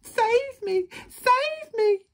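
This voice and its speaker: A woman's voice: extremely high pitch, lively intonation, very slow, voice pushed up, exaggerated voice. A woman voicing a timid, frightened young male wolf in a children's storybook, with panicky exclamations. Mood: terrified, pleading.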